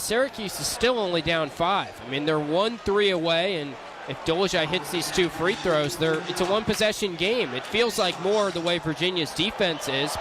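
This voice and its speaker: A man's voice: a little high, background noise. A man giving conversational, explanatory analysis as color commentator on a live basketball broadcast.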